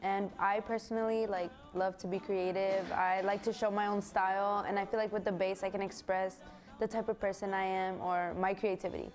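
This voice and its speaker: Young woman, warm, enthusiastic musician interview over music. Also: mid-range voice, level tone, moderate pace. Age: young adult. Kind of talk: casual interview.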